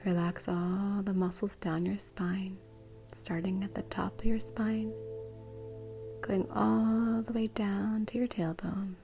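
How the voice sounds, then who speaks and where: Slow, soft breathy woman guiding meditation. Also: mid-range voice, level tone, faint music behind. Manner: soft, breathy, soothing delivery. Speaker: meditation guide. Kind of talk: guided meditation.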